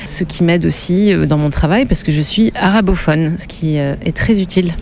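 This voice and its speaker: Female journalist, interviewee; measured, thoughtful delivery with frequent hesitations. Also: lowish voice, gravelly, darkish tone. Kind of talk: interview answers.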